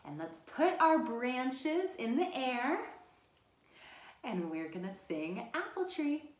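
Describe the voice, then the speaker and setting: A woman's voice: high voice, very animated, slow pace. A woman hosting an interactive storytime for young children, speaking directly to them in an encouraging, sing-song way. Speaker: children's storytime host at a library. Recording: roomy, echoing sound.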